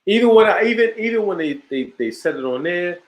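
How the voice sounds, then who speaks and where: A man talking as an interview guest in a relaxed, emphatic way, full of slang, restarts and boasts. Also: high-pitched, a little fast. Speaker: podcast host, guest on the show. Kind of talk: casual interview chat.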